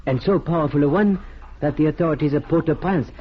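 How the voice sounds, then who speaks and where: An actor playing a suave Haitian government minister in an old radio drama, formal and ornately courteous, with a French accent. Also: male voice, fairly high pitch, quick pace, natural voice. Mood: intense. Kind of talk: 1940s-50s radio drama acting. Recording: dull, noisy vintage radio broadcast.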